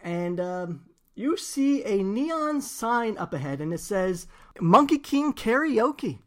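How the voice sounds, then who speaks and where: A man's voice: sits high, big pitch swings, slow, smooth. A man running a tabletop game, narrating casually with plenty of fillers and laughs. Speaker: game master.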